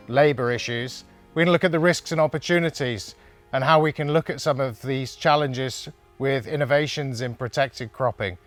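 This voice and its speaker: A man co-hosting a webinar, friendly and practical, explaining the setup and leading an interview. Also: fairly high pitch. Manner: brisk, friendly, practical with fillers. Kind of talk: presenting and interviewing.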